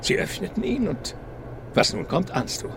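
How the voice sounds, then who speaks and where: An actor playing a policeman who recounts eerie legends with suspenseful pauses in a radio crime drama. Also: sits high, sing-song, gravelly, noisy background, heavily acted. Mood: suspenseful, relishing. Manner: storytelling, pausing for suspense.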